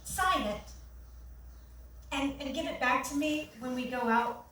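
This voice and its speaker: An actress as high-voiced, friendly nurse, echoey theatre. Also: female voice, unhurried, a bit bright. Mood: tender, earnest. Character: friendly, bookish, forward young woman nurse on a date. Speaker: actor in a staged reading. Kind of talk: staged reading of a one-act play. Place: theatre stage, recorded from the audience. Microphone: far camera. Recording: echoey theatre, distant camera, faint noise.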